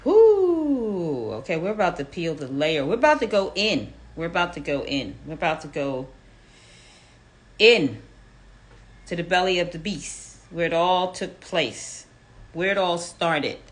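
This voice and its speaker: A woman's voice: fairly low pitch, sing-song, a little slow. A woman in her fifties hosting a live audio room, reading out listener comments and repeating her questions to the crowd with emphasis. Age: 50s. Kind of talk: live audience chat.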